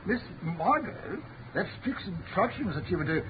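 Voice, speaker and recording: High, flustered servant. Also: male voice, sing-song, moderate pace. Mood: anxious, deferential. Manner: hesitant, flustered. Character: anxious, deferential male servant and chauffeur. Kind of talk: 1930s radio acting. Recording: muffled 1937 radio recording, faint noise.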